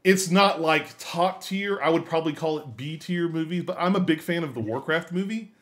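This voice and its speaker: A man co-hosting a podcast, chatting casually and haltingly, often trailing off as he thinks. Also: on the high side, a bit bright. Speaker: podcast co-host. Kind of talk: casual chat.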